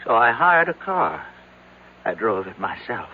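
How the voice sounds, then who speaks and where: An actor in an old-time radio drama playing a frightened, broken aide aged to seventy, halting and pleading in a frail voice. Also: male voice, lowish voice, sing-song. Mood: dazed, haunted. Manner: halting, pleading, repeating himself. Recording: muffled, noisy old radio broadcast recording.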